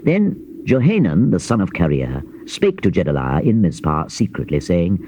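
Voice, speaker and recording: A man giving a solemn, measured, dramatized narration of scripture in archaic King James English. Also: low voice, very animated, dark tone. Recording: dull, hissy old cassette tape transfer.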